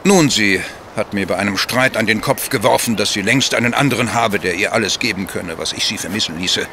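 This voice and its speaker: An actor playing a self-assured gentleman widower under suspicion in a radio play, formal, insistent and defensive. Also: lowish voice, brisk, background noise, lightly acted. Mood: bitter. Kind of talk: German radio play acting.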